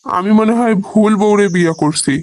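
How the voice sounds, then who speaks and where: A man's voice: high-pitched, normal speed, a little mellow, no echo, natural voice. A man with a Bangladeshi accent playing an exasperated village husband who protests and reasons, in a comic cartoon.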